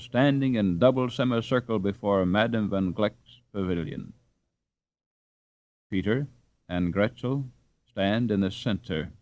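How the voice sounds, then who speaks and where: A man reading a classic children's story aloud as an audiobook narrator, with expressive, dramatic delivery. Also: fairly low pitch. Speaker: audiobook reader.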